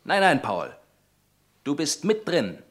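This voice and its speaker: A bossy resistance leader, hushed and urgent, lively pitch. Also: male voice, takes it slowly, heavily acted. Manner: urgent, commanding, hushed then sharp. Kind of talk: radio play acting.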